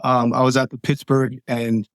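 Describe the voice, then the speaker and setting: A young man in his twenties from Pennsylvania, a guest talking casually with a hoarse voice and a friendly, upbeat manner. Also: mid-range voice, little pitch movement, moderate pace, dry recording, studio quality. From Columbia, Pennsylvania. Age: 20s. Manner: upbeat, friendly, filler-heavy. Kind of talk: casual interview chat.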